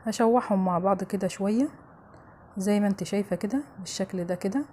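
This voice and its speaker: A woman's voice: mid-range voice, fast talker, mellow, slight background noise. A woman with an Egyptian accent hosting a home cooking show, chatting familiarly to viewers as she narrates a recipe step by step.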